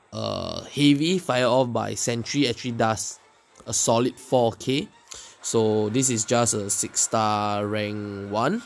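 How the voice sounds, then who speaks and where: A man giving casual, unscripted commentary on a mobile game, speaking haltingly and often pausing mid-sentence. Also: medium pitch. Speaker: gameplay video creator. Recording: music behind the voice. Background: loud game music.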